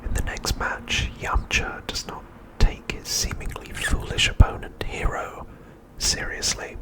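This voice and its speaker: Slow whispering man, ASMR narration. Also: poor audio. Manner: soft, unhurried whispering with deliberate pauses. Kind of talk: whispered ASMR, scripted episode recap narration.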